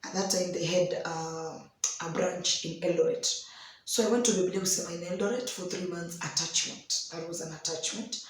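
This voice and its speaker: A young Kenyan woman with a hoarse voice tells a personal story in an unhurried devotional talk, slipping in Swahili phrases. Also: fairly low pitch, steady tone. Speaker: host of a daily devotional. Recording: very echoey room.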